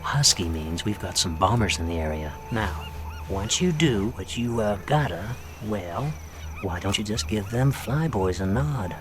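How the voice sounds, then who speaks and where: Game supporting role: genial American wartime handler, lowish pitch, down-home phrasing, noisy ambience. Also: male voice, animated, a little slow. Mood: affable, briefing. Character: folksy, affable American wartime intelligence officer. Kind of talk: cinematic military game acting.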